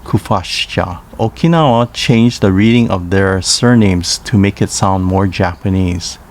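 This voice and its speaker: A man narrating a language lesson in a careful, scripted way, reading pairs of names slowly. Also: lowish voice, a little hiss or hum. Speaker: language teacher.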